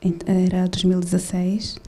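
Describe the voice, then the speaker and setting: A woman's voice: fairly low pitch, monotone, normal speed, warm tone. A woman raised in Switzerland, a film director answering a festival question in a hesitant way with many fillers and restarts. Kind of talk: festival Q&A talk.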